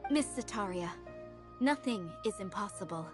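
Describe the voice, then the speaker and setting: An actress playing a female mercenary guard with a measured, ominous, preachy manner in an anime game. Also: medium pitch, lively intonation, over music, natural voice.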